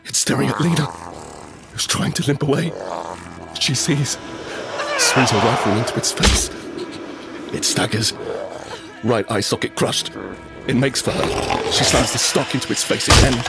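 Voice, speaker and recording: An actor playing a frightened survivor in an audio drama, narrating urgently in the first person and present tense in fragmented phrases. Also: male voice, medium pitch, unhurried, creaky, pauses often, over loud music. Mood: tense, horrified. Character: desperate, frightened male survivor of a monster apocalypse. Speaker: narrator and lead character.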